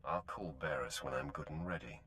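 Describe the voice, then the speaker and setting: A man playing an arrogant, menacing Sith warrior in a video game, curt, sardonic and commanding, with a gravelly voice. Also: extremely low pitch, brisk, sharp tone, slight background noise. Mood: curt contempt.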